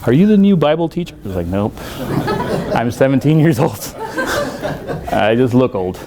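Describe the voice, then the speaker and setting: A man from El Salvador, somewhere in his forties, speaks at a conference in a university hall at a middle pitch. He jokes at his own expense and pads his sentences with many fillers. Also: big pitch swings, moderate pace, a crowd in the background. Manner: self-deprecating, joking, with many fillers. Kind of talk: conference talk.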